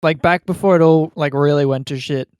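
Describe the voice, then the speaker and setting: A man's voice: on the high side, fairly even intonation, fairly quick, darkish tone, clean tone, no echo, studio quality. An Australian man hosting a podcast and chatting casually about films with a group. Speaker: podcast host.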